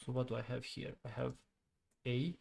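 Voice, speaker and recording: A man thinking aloud while debugging code on a stream, halting and muttering to himself, often trailing off. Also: medium pitch, flat delivery, gravelly, darkish tone. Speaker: programming streamer.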